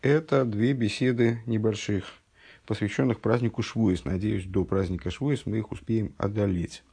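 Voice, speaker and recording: A man with a husky, gravelly low voice teaches a text study lesson. He reads each source passage slowly, then explains it plainly, sounding like he is just recovering from an illness. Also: fairly even intonation, mellow. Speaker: religious teacher.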